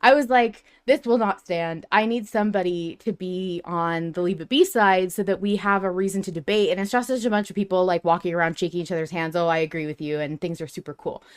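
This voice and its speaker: A woman's voice: on the low side, fairly quick, few pauses, clean tone. A woman hosting a casual fan discussion podcast, talking in a chatty, opinionated way. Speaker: podcast host.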